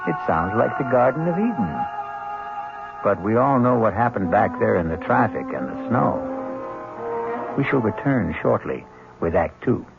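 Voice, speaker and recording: Gravelly wry male radio host. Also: fairly low pitch, very animated, normal speed, pauses often, over loud music. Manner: wry, theatrical storyteller. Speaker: host narrator. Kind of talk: 1970s radio drama host narration. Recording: lo-fi 1970s radio broadcast with hum.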